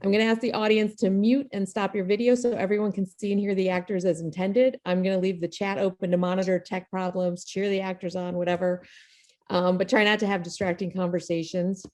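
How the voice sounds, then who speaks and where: A woman's voice: medium pitch, little pitch movement, brisk, a little mellow. Jokey, self-deprecating woman hosting online. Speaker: playwright and host of an online table read. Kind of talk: informal spoken introduction to a show. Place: video call.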